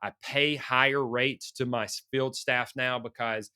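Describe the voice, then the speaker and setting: A middle-aged man, hoarse from a sinus infection, hosting a motivational business podcast in an earnest coaching manner. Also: mid-range voice, steady tone, measured pace, sharp tone, no echo, studio quality. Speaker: podcast host.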